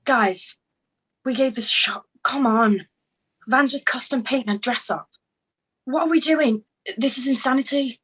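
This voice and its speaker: A woman's voice: high-pitched, a little slow, a bit bright, husky, exaggerated voice, low-quality recording. A woman playing a fierce, fed-up partner in a screenplay table read, emphatic and confrontational. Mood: exasperated.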